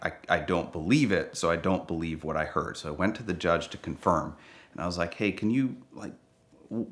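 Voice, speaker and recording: Male storyteller, very low voice, casual and animated, plays out the dialogue. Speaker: car owner telling a story.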